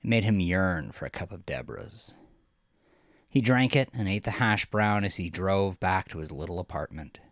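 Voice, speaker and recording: A man narrating scripted horror fiction in a slow, dramatic manner, often dropping into breathy near-whispers. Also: low voice, animated, no echo, studio quality. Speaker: audiodrama narrator. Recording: music under the voice. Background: music.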